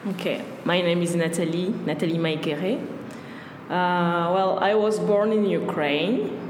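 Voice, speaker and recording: A woman's voice: fairly low pitch, fairly even intonation, measured pace. A woman whose first language is Russian, from Rwanda, answering interview questions hesitantly as a podcast guest and often searching for words. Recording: echoey hall with loud background noise.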